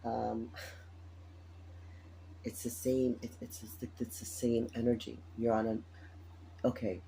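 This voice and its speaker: Tired raspy-voiced man reading tarot. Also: mid-range voice, flat delivery, takes it slowly, warm tone, a little hiss or hum, rough recording. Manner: chatty, repeats phrases, laughs often. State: tired, morning voice. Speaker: tarot reader. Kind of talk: casual spoken tarot reading.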